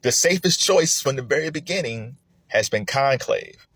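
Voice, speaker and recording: Guy rambling about movie awards, kinda husky, dry close-mic sound, gets excited then drops low, laughs a lot. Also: moderate pace, no room sound. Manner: animated, chatty, laughs often, swings between excited highs and low muttering. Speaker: film commentator. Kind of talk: casual spoken commentary.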